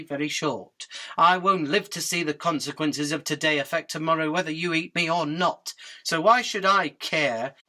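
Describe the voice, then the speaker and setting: A woman's voice: low voice, bright, forceful tone. A woman playing a tiny, earnest, defiant winged insect prophet of doom in a radio drama, with an urgent, preachy, sardonic delivery. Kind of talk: theatrical radio drama acting.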